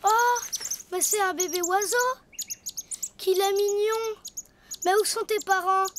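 A woman voicing a cheerful, curious little boy donkey in a cartoon, eager and lively. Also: very high-pitched, slow pace, noisy background.

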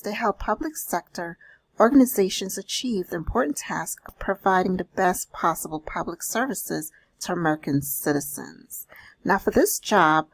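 A woman doing an explanatory voice-over, reading out and paraphrasing listings in a deliberate, instructional manner with small check-ins. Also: medium pitch, breathy edge, no echo. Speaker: host of a job-leads channel.

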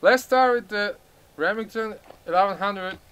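A young man from Turkey with a Turkish accent, speaking halting English outdoors and laughing his way through retakes of a gun-safety demo. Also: high voice, a bit bright, clean tone. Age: young adult. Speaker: first-time shooter presenting a gun. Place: outdoors in a gravel field by a truck. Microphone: camera mic from a few meters.

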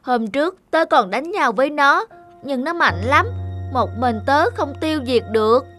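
A lonely young goblin from a cartoon, speaks in a high, plaintive voice. The misunderstood little monster talks slowly over clear music. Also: female voice, animated, a bit bright. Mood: earnest. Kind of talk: Vietnamese cartoon voice acting.

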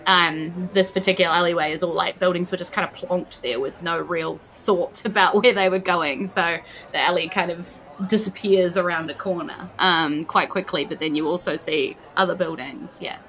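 A woman's voice: fairly low pitch, fairly even intonation, moderate pace, fairly bright tone, rough recording. A woman improvising narration as game master of a tabletop game, informal and descriptive.

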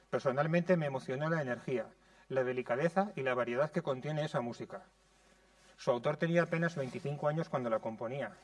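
A man's voice: mid-range voice, no echo, far from the mic. Man reading a lecture, from afar. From Spain. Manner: measured, reads from notes. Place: conservatory lecture room.

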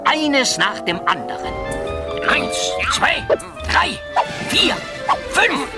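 An actor playing a fussy, theatrical evil sorcerer in an audio drama. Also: male voice, high-pitched, wildly swooping pitch, drawn-out words, vocal fry, over loud music, exaggerated voice. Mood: gleeful storytelling.

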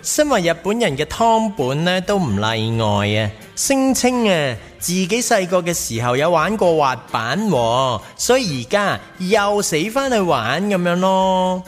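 A campy, nosy Hong Kong man in a radio comedy. His fairly high voice swoops around in a Hong Kong Cantonese accent, teasing over light music. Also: big pitch swings, measured pace, hardly any pauses. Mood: gossipy narrating. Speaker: lead and narrator of radio drama. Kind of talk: comedic radio drama narration and dialogue. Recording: radio drama with music underneath.

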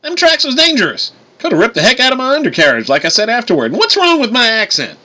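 A man's voice: very high-pitched, wildly swooping pitch, quick pace, sharp tone, pitched above the performer's own voice, heavily acted. A man voicing a folksy, protective older-brother god who races stock cars, with a drawling Southern US accent, in an audiobook story. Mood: defensive.

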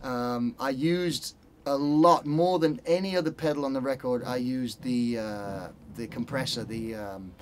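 A man's voice: mid-range voice, a little slow, slight background noise. A middle-aged man from Spain, a guitarist talking casually as an interview guest and often searching for words. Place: recording studio control room, seated.

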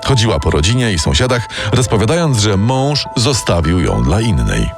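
A man's voice: on the low side, sing-song, normal speed. A man giving scripted true-crime narration as a dramatic storyteller. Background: music bed.

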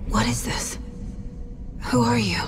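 An actress playing a composed, direct FBI agent in a video game, with a businesslike but concerned manner. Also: female voice, on the high side, lively intonation, takes it slowly, music in the background. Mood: tense. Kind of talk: video game drama acting. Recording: noisy ambience behind the voice.

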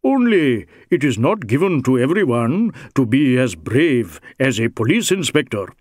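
A man's voice: medium pitch, sing-song, normal speed, a little mellow, dry recording, clean studio sound. A man voicing a big, brawny Sikh rogue in an audiobook, sly and teasing, with wheedling mock deference. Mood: slyly menacing. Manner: wheedling mock deference, Indian English phrasing. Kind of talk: audiobook character dialogue.

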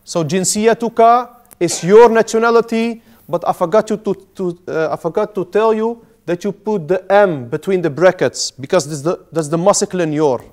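A man's voice: high-pitched, moderate pace, hardly any pauses, darkish tone. A man in his thirties teaching a language class in a small classroom, patiently repeating and explaining each word. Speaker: Arabic teacher. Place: small indoor classroom with whiteboard.

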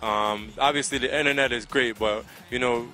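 Bright-voiced American man in casual interview. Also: medium pitch, steady tone, moderate pace, faint music behind. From Connecticut, United States. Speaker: soca singer being interviewed. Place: outdoors by a riverside. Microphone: handheld microphone held close to the mouth.

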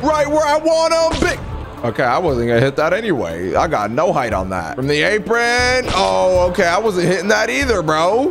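Young male gaming host, high and very animated, excitable and trash-talking, voice going hoarse, headset mic, game music under him. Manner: excitable trash talk, reads messages aloud in mocking voices. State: losing his voice. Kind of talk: live gameplay commentary. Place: home streaming room. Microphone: headset with studio mic.

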